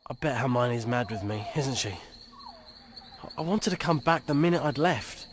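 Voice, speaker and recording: A man's voice: mid-range voice, wildly swooping pitch, a little slow, background noise. A man voicing a loyal, blunt British teenage wizard boy in a video game, speaking earnestly and colloquially. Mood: remorseful, earnest. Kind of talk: video game dialogue acting.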